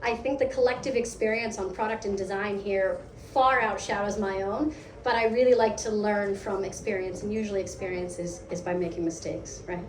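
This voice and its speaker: An American woman leading a product team gives a lively, conversational meetup talk, asking the audience questions. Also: medium pitch, a little fast. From United States. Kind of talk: live conference talk. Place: event hall. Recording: echoey hall, distant mic, faint hum.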